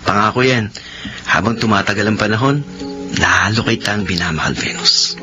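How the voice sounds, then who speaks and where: A man's voice: lowish voice, moderate pace, lightly acted. A man playing a devoted, playful young husband and father with a Filipino accent, tender and effusive in a sentimental radio drama. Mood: affectionate. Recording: dull lo-fi audio with loud music.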